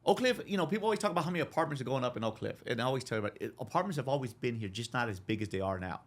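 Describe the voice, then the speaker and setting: A middle-aged man from Dallas, a podcast guest talking casually, with lots of restarts. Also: mid-range voice. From Dallas, Texas. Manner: fast, repetitive restarts, animated. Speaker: interview guest. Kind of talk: casual conversation.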